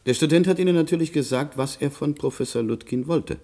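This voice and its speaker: An actor playing a formal, sceptical investigator in a German radio play, with a dry, probing way of talking. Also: male voice, fairly high pitch, a little fast, dark tone. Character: sceptical, formal male investigator questioning officials.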